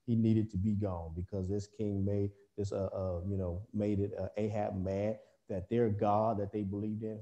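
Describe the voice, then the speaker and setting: A man gives a Bible lesson to a remote congregation over a video call, his voice low-pitched and very dark and mellow. He talks in a halting way, full of fillers, restarts and corrections as he works through the passage. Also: muffled recording. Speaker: preacher.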